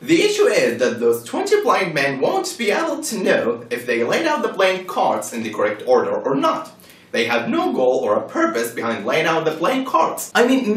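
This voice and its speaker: A man's voice: fairly high pitch, fairly bright tone, far from the mic. A man playing a composed, insistent believer in a scripted debate, explaining patiently through questions and reasoning. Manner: didactic, question-and-answer reasoning. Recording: roomy echo, off-mic.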